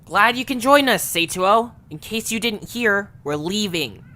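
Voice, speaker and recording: A man's voice: sits high, expressive, unhurried, sharp tone, slight background noise, heavily acted. A man playing a blunt, impatient young creature who leads his group in an audio drama. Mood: blunt, scornful. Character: bossy, blunt young male Zoombini leader, proud and impatient. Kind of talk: audio drama character acting.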